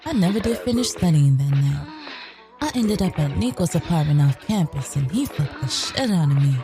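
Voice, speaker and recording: A woman's voice: sits low, lively intonation, moderate pace, over music. A woman playing a sly, brash young woman killer in an audio drama, telling her story in a sassy, profane, colloquial way. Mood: boastful, dismissive. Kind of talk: scripted first-person narration, audio drama.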